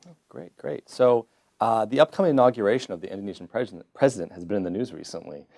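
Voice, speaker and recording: Guy interviewing ppl at a conference, talks fast, kinda gravelly, brisk + friendly. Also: lowish voice, darkish tone. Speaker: interviewer, PhD candidate. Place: conference venue.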